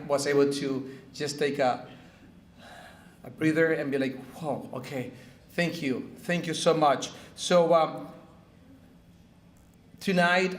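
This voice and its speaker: A man giving an informal, halting introduction of an award honoree, with fillers. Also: on the high side. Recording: echoey hall.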